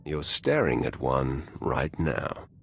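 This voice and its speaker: Very deep, gravelly Sith lord. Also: male voice, very animated, takes it slowly, fairly bright tone, a little hiss or hum, heavily acted. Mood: smug, suggestive. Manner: imperious, terse, self-assured. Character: commanding male Sith lord, cold, domineering master. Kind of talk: dramatic video game voice acting.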